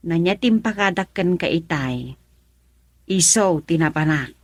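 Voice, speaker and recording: A woman's voice: fairly low pitch, animated, a little slow, no room sound. A woman with an Ilocano accent playing an earnest, self-sacrificing middle-aged mother in a radio drama, hesitant and pleading. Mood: evasive.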